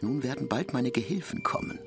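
An actor playing a guarded, self-assured newly arrived surveyor in a literary radio drama, measured and understated, with a husky, gravelly voice. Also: male voice, medium pitch, expressive, music in the background.

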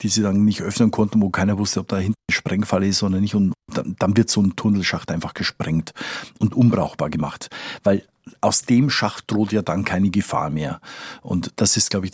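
A man with a fairly low, husky voice talks as a news correspondent. He explains informally, thinking aloud and adjusting his wording, in a very dry recording space. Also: a little mellow, dry recording. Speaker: foreign correspondent. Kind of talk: informal explanatory interview talk.

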